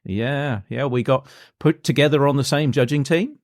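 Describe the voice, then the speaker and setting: A man's voice: mid-range voice, moderate pace, dry recording, clean studio sound. A man hosting a podcast, with a radio-style voice, chatting and joking casually with his guest. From United Kingdom. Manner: joking, chuckling. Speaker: podcast host. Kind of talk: casual interview chat.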